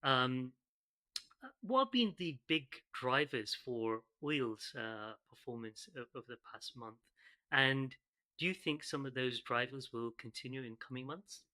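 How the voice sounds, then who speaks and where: A man's voice: medium pitch, animated, sharp tone. A man hosting a podcast, reading a scripted intro and disclaimer, then asking questions with fillers and restarts. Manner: reads a compliance disclaimer at a brisk clip, hesitant with fillers when unscripted. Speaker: podcast host.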